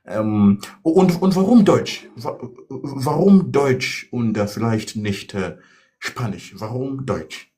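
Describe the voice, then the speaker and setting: A man's voice: medium pitch, lively intonation, slow pace, warm tone. A man teaching a live German class, encouraging his students with many okays and mhms. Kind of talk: live online language class.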